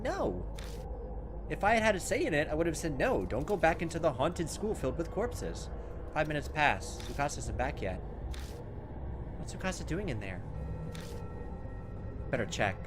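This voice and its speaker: A man hosting a let's play, reading game text aloud and adding animated, casual asides. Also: on the high side. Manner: animated, casual asides between read-out lines. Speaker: gameplay host. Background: game music.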